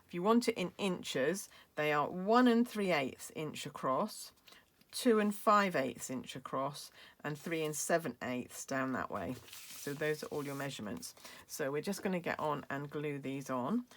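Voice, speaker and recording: British woman, low voice, chatty step-by-step craft demonstrator with a hoarse edge. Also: animated, measured pace. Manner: chatty, explains step by step with fillers. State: losing her voice, coughing. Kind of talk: crafting tutorial.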